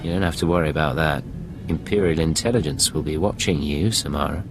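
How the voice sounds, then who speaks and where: A deep-voiced suave secret agent. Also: male voice, brisk. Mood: cool, menacing. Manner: smooth-talking, assured, dry. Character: suave, confident male imperial secret agent. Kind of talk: video game voice acting. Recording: faint music behind.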